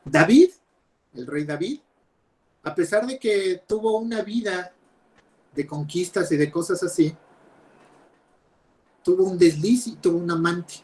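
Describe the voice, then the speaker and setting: A young man preaching a sermon over a video call, emphatic, with loud stresses and quiet drops. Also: high-pitched, a little slow, long pauses. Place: home, speaking over videoconference.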